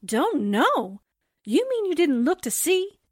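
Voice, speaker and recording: An actress playing a naive, eager newspaper sidekick in a 1940s-style radio drama, with a folksy, wide-eyed, drawn-out delivery. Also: female voice, very high-pitched, big pitch swings, no room sound, studio quality, heavily acted. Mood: puzzled. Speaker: voice actor in an audio drama.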